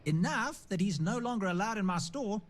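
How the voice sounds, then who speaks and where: An actor playing a plain-spoken, wistful shopkeeper in a space colony video game, with a folksy, frank way of talking. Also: male voice, sits high, expressive, a little fast. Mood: regretful, firm. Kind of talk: video game character dialogue.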